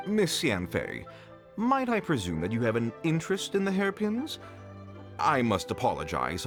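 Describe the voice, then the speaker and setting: A man playing a polite, business-minded merchant in a video game, speaking formally and eagerly like a salesman. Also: mid-range voice. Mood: courteous. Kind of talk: video game character acting.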